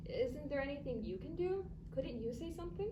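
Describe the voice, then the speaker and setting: A woman's voice: high-pitched, animated, fairly quick. A female drama student playing an upset, caring, reproachful office coworker in a staged reading. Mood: upset, pleading. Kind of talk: staged reader's theatre performance. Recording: distant echoey noisy live stage recording.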